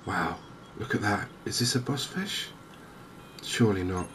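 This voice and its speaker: A man giving softly spoken, cozy gaming commentary in a gentle, unhurried, musing way. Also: on the low side. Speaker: gaming video host. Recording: clear steady ambient background noise.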